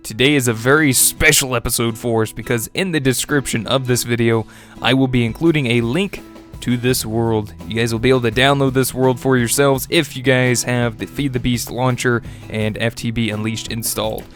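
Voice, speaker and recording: A man's voice: medium pitch, fairly even intonation, moderate pace, fairly bright tone. A young man with a stuffy, congested voice, chatting casually as he narrates his own gameplay video. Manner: chatty, upbeat, with little laughs and fillers. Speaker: gaming video host. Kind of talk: casual let's-play commentary. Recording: faint music bed behind voice.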